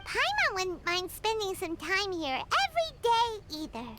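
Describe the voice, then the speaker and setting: A woman voicing a small, excitable female fairy companion in a video game. She talks about herself in the third person. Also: extremely high pitch, normal speed, heavy background noise. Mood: cheerful. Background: game music.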